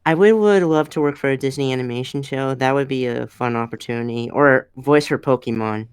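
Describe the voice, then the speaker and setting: A man speaks casually on a panel in a crisp, mid-pitched voice. His contributions are short and a little hesitant, and the sound is close with almost no room in it. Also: brisk, dry recording. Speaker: voice actor on a panel.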